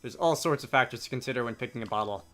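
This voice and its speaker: A man's voice: medium pitch, racing, fairly bright tone, faint music behind, lightly acted. A man voicing a breezy, worldly Scottish wizard who is fond of drink, with a jovial, opinionated manner.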